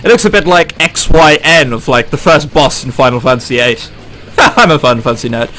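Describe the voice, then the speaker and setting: A man's voice: a little high, animated, brisk, bright, forceful tone. A man reading a visual novel aloud and breaking off into jokey, theatrical asides, with game music behind him. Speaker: let's play host.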